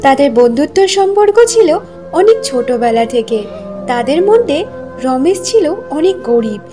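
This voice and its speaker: Woman storyteller for a cartoon tale: high voice, scripted narration, light music underneath. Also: sing-song, moderate pace.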